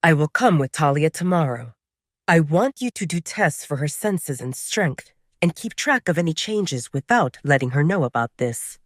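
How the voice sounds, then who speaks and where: A woman's voice: deep voice, expressive, normal speed, no room sound, studio quality. A woman voicing a protective male werewolf pack leader in an audio drama, with terse, decisive delivery. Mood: commanding.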